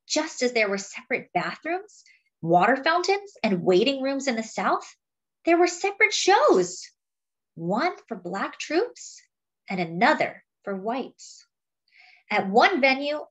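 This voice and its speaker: A woman reading a picture book aloud, with expressive, dramatic phrasing. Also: fairly high pitch. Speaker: storybook reader.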